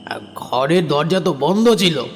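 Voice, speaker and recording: A man's voice: on the high side, lively intonation, measured pace, faint music behind. A man playing a rustic sawmill worker in a horror audio drama, recounting in a hesitant, colloquial way. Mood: defiant. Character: rustic male sawmill worker, uneasy but bold witness.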